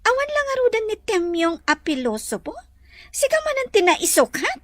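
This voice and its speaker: Commanding, teasing madam, Ilocano radio drama, very high pitch, lively and dry-recorded. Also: female voice, moderate pace, dry recording, full character voice. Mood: bossy, scolding. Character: bossy, teasing lady employer.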